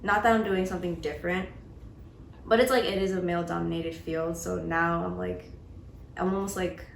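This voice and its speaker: A young woman in her late teens speaks about skating in a casual, filler-heavy way. Her voice sits slightly low. The room is echoey, with faint noise behind her. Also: normal speed, vocal fry. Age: young adult. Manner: relaxed, many "like" fillers. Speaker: skater. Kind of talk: casual interview answers.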